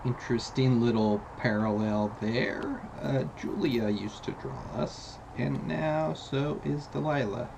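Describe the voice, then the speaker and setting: Male let's player, unhurried, creaky voice, relaxed commentary, game ambience behind. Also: medium pitch, background noise. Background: video game ambience.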